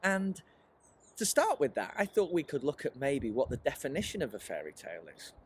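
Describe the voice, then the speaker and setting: Animated man teaching children. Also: on the high side, fairly quick, breathy edge. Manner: animated and encouraging, often posing questions to the listener. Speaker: writer leading a children's creative writing workshop. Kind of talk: presentational teaching aimed at children, with rhetorical questions.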